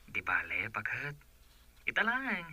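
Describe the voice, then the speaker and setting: An actor playing an evasive, pleading husband with a Filipino Ilocano accent, in radio drama style. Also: male voice, mid-range voice, huge pitch swings, takes it slowly, sharp tone, husky, dry recording, full character voice. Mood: coaxing. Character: pleading, evasive husband who goes out drinking.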